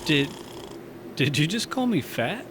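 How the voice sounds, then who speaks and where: A man's voice: on the high side, very animated, a little slow, background noise. A man playing a wry, easygoing space station barkeeper in a sci-fi comedy audio drama, speaking with dry understatement.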